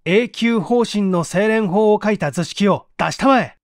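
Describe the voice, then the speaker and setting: A man's voice: high-pitched, measured pace, no echo, clean studio sound, pitched above the performer's own voice. A man playing a bold, earnest researcher who speaks urgently and persuasively in an audiobook mystery. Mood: commanding. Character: earnest, bold young male researcher-detective.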